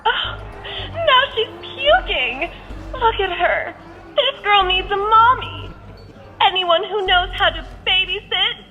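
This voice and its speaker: A woman's voice: very high-pitched, lively intonation, slow, pushed and bright, highs cut off, exaggerated voice. A woman playing a cruel, mocking noblewoman who taunts in a sneering tone, in a game cutscene. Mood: gloating. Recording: reverberant game audio with music.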